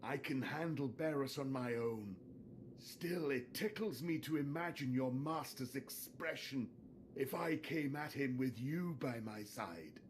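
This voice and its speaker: A man playing a vengeful, contemptuous Sith lord in a video game, taunting in a theatrical, drawn-out way. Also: medium pitch, animated, a little hiss or hum, close to an everyday voice. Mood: scornful, sly.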